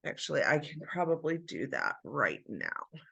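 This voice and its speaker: A woman's voice: low voice, very animated, moderate pace, creaky, fairly bright tone, husky. A woman chatting casually to her viewers about home routines, with a stuffy nose after the flu. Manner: chatty, encouraging, addresses viewers as you guys. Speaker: homemaking vlogger. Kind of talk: casual chat to camera.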